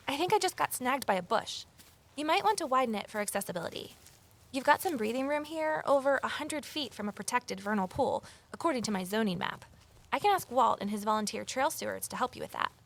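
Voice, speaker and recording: A woman's voice: on the high side, expressive, brisk, vocal fry, fairly bright tone, exaggerated voice. An actress playing a curious, watchful amateur investigator, telling her mystery in the first person and talking with others. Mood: friendly, inquisitive. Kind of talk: audio drama narration and dialogue.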